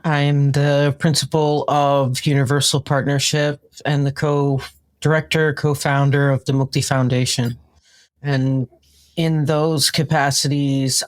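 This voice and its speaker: A woman with a New York accent, explaining her work as a guest in an interview. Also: low-pitched. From New York, United States. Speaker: podcast guest, consultant and trainer.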